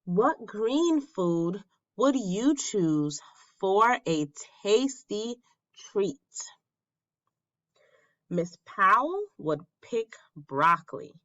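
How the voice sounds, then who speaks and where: A woman reading a children's picture book aloud as a teacher, with simple, deliberate phrasing and questions for young listeners. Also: medium pitch, big pitch swings, a bit bright, lo-fi sound.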